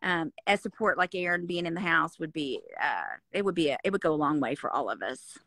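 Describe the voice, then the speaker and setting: A woman's voice: low-pitched, lively intonation, a little fast, creaky, a bit bright, dry recording, clean studio sound. A woman in her fifties joining a casual video call from home, earnest and encouraging. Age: 50s. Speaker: guest caller. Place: indoor home room. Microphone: phone front camera, video call.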